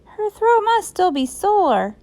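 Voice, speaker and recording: An excitable, mischievous storybook schoolkid, played by a woman. The voice is pitched very high and swoops about with eager exclamations. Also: slow, full character voice. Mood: uneasy. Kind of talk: children's storybook read-aloud.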